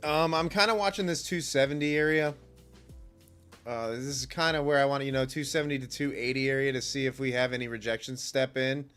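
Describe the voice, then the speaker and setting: A man with a mildly congested, stuffed-up nose and a somewhat low-pitched voice talks as a livestream host. He is laid-back and informal, rambling through market commentary and casual chat, with faint music behind him. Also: fairly even intonation, brisk, fairly bright tone, clear, resonant tone. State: stuffy nose and cough from a cold. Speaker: livestream host, futures day trader.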